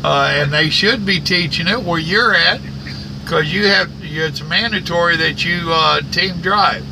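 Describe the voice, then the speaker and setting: A man's voice: fairly high pitch, measured pace. An older man giving casual, instructive trucking advice as the interviewer, in an agreeing way. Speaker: interviewer, veteran trucker mentor. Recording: clear vehicle noise in background. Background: vehicle noise.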